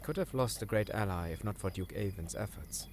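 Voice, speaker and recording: A man's voice: on the low side, a little fast, slight background noise, close to an everyday voice. A man playing an earnest, deferential scholar serving royalty in a fantasy audio drama, formal and slightly hesitant. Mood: earnest explaining.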